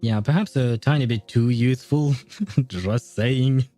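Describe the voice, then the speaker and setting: Man casually commentating a game stream, soft music behind. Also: mid-range voice, takes it slowly, no room sound. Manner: hesitant, searching for words. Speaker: game livestreamer.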